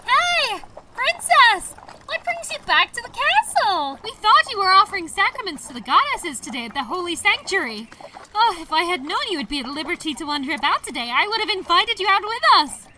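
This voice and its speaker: A woman playing an excitable, teasing young female scholar in a fan audio drama, exclamatory and playful. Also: extremely high pitch, brisk, far from the mic, a little hiss or hum, exaggerated voice, rough recording. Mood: excited, gushing.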